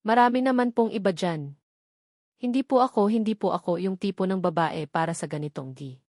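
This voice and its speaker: A woman's voice: medium pitch, fairly quick, darkish tone, dry recording, clean studio sound, close to an everyday voice. A woman playing a timid, anxious young provincial woman in a romance audio drama, speaking hesitantly and politely. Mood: nervous, disbelieving.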